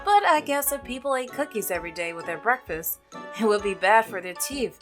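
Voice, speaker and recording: A woman's voice: mid-range voice, very animated, a little fast, music in the background, lightly acted. A woman reading as a chatty friendly milkman. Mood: upbeat chatter. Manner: cheerful, rambling. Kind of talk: reading game dialogue aloud.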